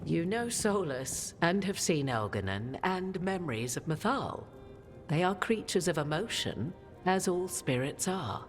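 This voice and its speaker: An ancient witch of a fantasy game, imperious and cryptic. The voice is low for a woman and swoops expressively through formal, archaic lines. Music plays clearly behind the studio-recorded speech. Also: sing-song. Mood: wry, imperious. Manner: formal, archaic, deliberate, theatrical phrasing. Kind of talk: dramatic fantasy game dialogue. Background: background music.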